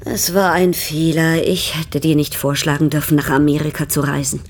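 An actress playing an imperious, discontented baroness in a German radio drama, husky and haughtily complaining. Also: female voice, low-pitched, flat delivery, moderate pace. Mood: exasperated, bitter. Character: imperious, discontented baroness, older sister of a bohemian.

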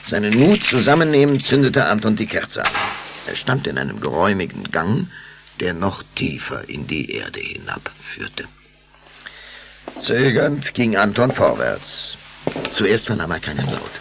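A man tells a children's story in a low voice with a gravelly edge. His scripted narration is dramatic and deliberate, with lively rises and falls. There is noise behind him.

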